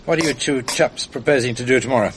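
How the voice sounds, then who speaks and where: An actor playing a kindly, courteous middle-aged father with a quietly amused manner. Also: medium pitch, fast talker, a little hiss or hum, close to an everyday voice. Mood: genial. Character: genial, gentle middle-aged English father.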